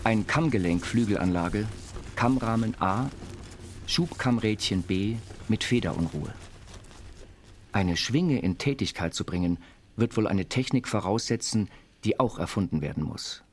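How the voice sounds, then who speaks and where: A man playing an earnest, dreamy inventor confined to an institution, reading his writings in a deliberate, formal way. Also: lowish voice, lively intonation, a little hiss or hum. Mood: absorbed, technical. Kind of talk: scripted literary reading over music. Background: music.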